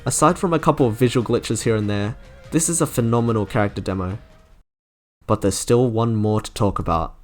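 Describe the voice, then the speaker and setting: A man's voice: lowish voice, a little fast. A man narrating a scripted, opinionated video review with enthusiasm. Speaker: video creator reviewing game character trailers. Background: music.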